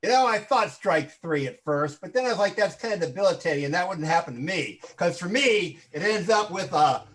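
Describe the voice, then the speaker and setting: A man's voice: high-pitched, lively intonation, brisk, hardly any pauses, fairly bright tone, low-quality recording. A man playing a cocky, boastful sports coach as a panelist in improvised game show comedy.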